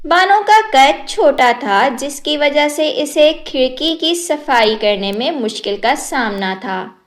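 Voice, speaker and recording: A woman narrating a moral storybook tale in a measured, even storytelling manner. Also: sits high.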